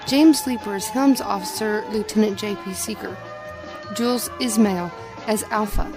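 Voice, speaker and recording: A woman's voice: mid-range voice, poor audio. Woman announcer over prominent music. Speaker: credit announcer. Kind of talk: scripted announcement of credits.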